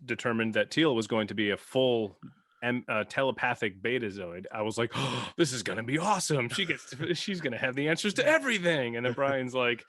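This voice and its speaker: A man's voice: medium pitch, animated, a little fast, a bit bright, no room sound, clean studio sound. A man who is a podcast cast member, talking casually and explaining things in conversation.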